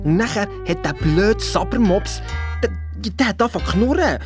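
A woman's voice: mid-range voice, animated, moderate pace, music in the background, close to an everyday voice. A woman playing a blunt, grumbling young boy with a Swiss accent, a detective sidekick telling his side in a children's radio play. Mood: aggrieved.

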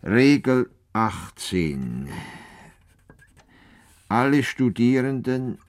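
A man playing a stubborn, eccentric older Swiss farmer and would-be world reformer in a radio play, with a Swiss German accent and a grumbling, self-important manner. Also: mid-range voice. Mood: solemn dictating. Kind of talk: radio play acting, muttered monologue and dictation.

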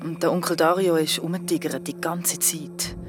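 A woman's voice: fairly low pitch, fairly even intonation, normal speed, music in the background, lightly acted. A young actress with a Swiss German accent playing an uneasy young woman, recounting her story in casual, slangy speech for a radio drama. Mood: troubled recounting. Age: young adult. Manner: colloquial, youthful slang.

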